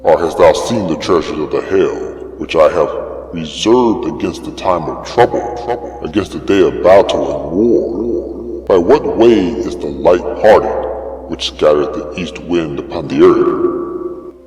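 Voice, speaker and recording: A man's voice: low voice, lively intonation, measured pace, a little mellow. A man voicing a stern, majestic God who puts solemn, challenging questions in a declamatory dramatic scripture reading. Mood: grave, questioning. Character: God speaking from a whirlwind, stern, majestic, commanding. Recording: long cavernous reverb over music.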